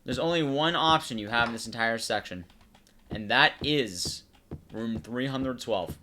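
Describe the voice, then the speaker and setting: A man's voice: medium pitch, measured pace, sharp tone, a little hiss or hum. A man giving casual video game commentary, explaining things to the viewer in short phrases.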